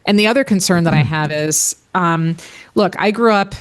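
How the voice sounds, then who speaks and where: A woman's voice: fairly low pitch, normal speed. Earnest woman from Northwest Indiana. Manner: earnest, thinks aloud with many restarts. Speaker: panelist. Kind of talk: casual panel discussion.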